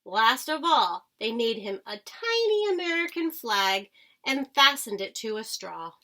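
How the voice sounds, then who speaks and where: A woman's voice: a little high, very animated, measured pace, sharp tone. A woman reading a children's storybook aloud like a teacher, expressively, with dramatic emphasis. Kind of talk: expressive children's storybook read-aloud with short asides to listeners.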